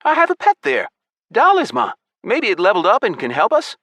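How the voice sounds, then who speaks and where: A man voicing an eager, anxious young male gamer in an excitable way. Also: a little fast, dry recording, exaggerated voice. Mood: hopeful. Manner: high-pitched, excitable.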